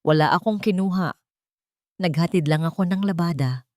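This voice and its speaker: A woman's voice: lowish voice, normal speed, warm tone, no echo, clean studio sound, close to an everyday voice. A woman voicing a humble, frightened young daughter-in-law, timid and pleading, in an audio drama story. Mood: fearful, pleading.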